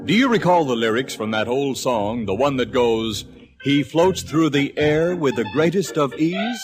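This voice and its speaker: A male announcer with a lively, swooping voice introduces the story theatrically. Organ music plays clearly behind him on the old broadcast. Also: medium pitch, measured pace. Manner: theatrical, lilting delivery. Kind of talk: 1940s radio announcing.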